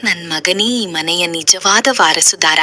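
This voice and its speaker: A woman's voice: on the low side, measured pace, bright, forceful tone. A woman playing a domineering, insistent stepmother in a moral story. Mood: haughty. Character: domineering stepmother doting on her own son.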